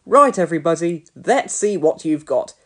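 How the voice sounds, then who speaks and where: A woman reading a storybook, voicing a stern, bossy male fire chief who gives brisk orders. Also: on the low side, expressive, a bit bright. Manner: brisk, commanding.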